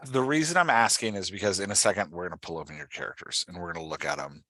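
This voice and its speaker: A man's voice: deep voice, fast, sharp tone. A man hosting a conversational coaching interview, explaining with examples and often checking that he is understood. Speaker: podcast host and writing coach.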